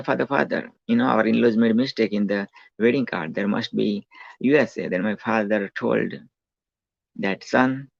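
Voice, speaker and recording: A man's voice: fairly low pitch, vocal fry, slightly rough, dry recording. A man in his forties with a Gujarati accent, telling his life story in a rambling talk full of fillers and restarts. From India. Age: 40s. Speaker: self-help speaker. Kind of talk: rambling autobiographical monologue. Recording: dull lo-fi dry recording.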